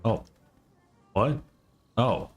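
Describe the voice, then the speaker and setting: A man's voice: lowish voice, lively intonation, extremely slow, faint music behind. A man doing casual gaming livestream commentary in a sarcastic, mock-exasperated way, swearing freely. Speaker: streamer.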